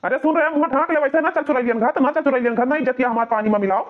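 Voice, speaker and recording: A man's voice: extremely high pitch, very fast. A man voicing an exasperated young village husband in a cartoon comedy, nagging and grumbling with an Awadhi accent on muffled lo-fi audio. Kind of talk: exaggerated cartoon comedy bickering.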